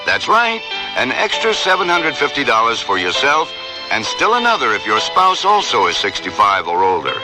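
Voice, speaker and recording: A man's voice: mid-range voice, huge pitch swings, normal speed. A man delivering a scripted public-service tip as a radio announcer. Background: music.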